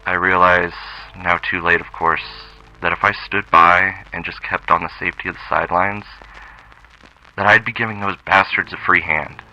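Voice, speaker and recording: An actor playing a doomed small-time thief in a classic radio drama, measured, streetwise and earnest, remorseful yet resolute. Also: male voice, sits low, steady tone, bright, forceful tone, lo-fi sound.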